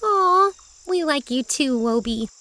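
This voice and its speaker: High-pitched, unhurried little girl played by a woman. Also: expressive, sharp tone, heavily acted. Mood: affectionate. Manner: comforting, playful. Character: bright, caring, teasing young girl. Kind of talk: children's audio drama acting.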